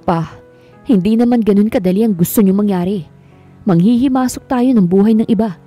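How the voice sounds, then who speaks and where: A woman's voice: medium pitch, fairly quick, warm tone, breathy edge, faint music behind, close to an everyday voice. A woman voicing a young businessman who protests and answers with sarcasm. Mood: reluctant.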